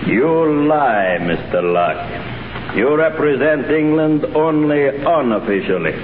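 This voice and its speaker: An actor playing a stern Russian commission official in a 1930s radio drama, slow and measured. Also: male voice, mid-range voice, animated. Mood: cold. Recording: echoey, tinny hissy 1930s radio broadcast.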